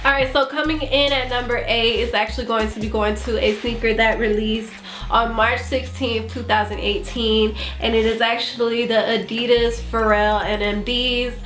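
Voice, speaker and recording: A woman's voice: a little high, fairly even intonation, normal speed, a bit bright. Congested, nasal woman vlogging sneakers. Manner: chatty, loose, many fillers. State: congested, sick with bronchitis. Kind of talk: casual vlog review. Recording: echoey room with faint music.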